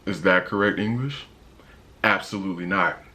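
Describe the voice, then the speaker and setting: A young man with a deep voice, talking casually in a travel vlog with a laid-back, slangy manner and lots of fillers. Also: normal speed, bright, forceful tone. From United States. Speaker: travel vlogger.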